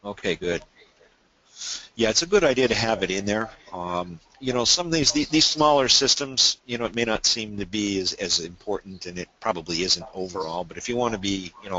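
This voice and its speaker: A man's voice: low-pitched, pushed and bright, rough recording. A man presenting a technical webinar, explaining things casually and asking questions.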